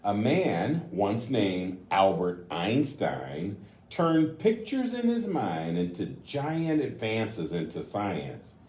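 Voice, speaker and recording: A man reading a picture book aloud to children, gently explaining with chatty asides in a roomy, echoing recording. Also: fairly low pitch, wildly swooping pitch, slow pace. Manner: gentle, explanatory, addressing young listeners.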